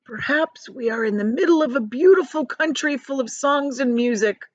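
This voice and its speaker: A woman's voice: high-pitched, normal speed, no room sound, muffled recording, pitched above the performer's own voice. A woman voicing a hopeful old male grasshopper from a storybook, encouraging and trying to be cheerful. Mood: cheerful, reassuring.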